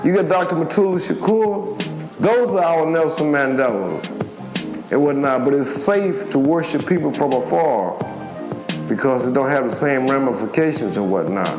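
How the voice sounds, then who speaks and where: A man's voice: mid-range voice, animated, normal speed. An older man giving an impassioned political speech in an emphatic, colloquial way, repeating phrases for emphasis. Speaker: political activist and former militant speaking. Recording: echoey room with music behind.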